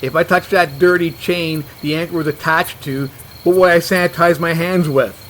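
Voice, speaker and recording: A man's voice: fairly high pitch, steady tone, moderate pace, a bit bright, a little hiss or hum, natural voice. A man playing a fussy, stubborn and rather dim monster hunter in a comedy audio drama. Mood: fussy, matter-of-fact. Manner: defensive, obtuse, matter-of-fact.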